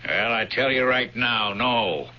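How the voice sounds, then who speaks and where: An actor in a radio drama playing a gruff, condescending Roman centurion who lives by the rules but has a soft side. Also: male voice, mid-range voice, slow, lightly acted. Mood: brusque, dismissive. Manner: blunt, condescending, swinging from brusque to sympathetic. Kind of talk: old-time radio drama acting. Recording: dull, noisy radio drama recording.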